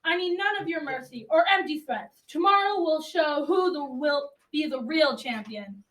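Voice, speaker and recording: Young girl playing boastful armored knight, proclaiming, sharp, radio drama, distant echoey recording. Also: high voice, animated, moderate pace. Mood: boastful challenge. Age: child or teen. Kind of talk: school radio drama acting.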